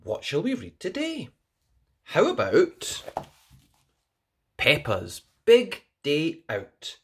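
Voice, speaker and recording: Woman, children's storyteller, slow pace, extremely lively intonation, expressive, singsong. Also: very deep voice, slightly rough. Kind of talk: children's story read aloud, expressive narration.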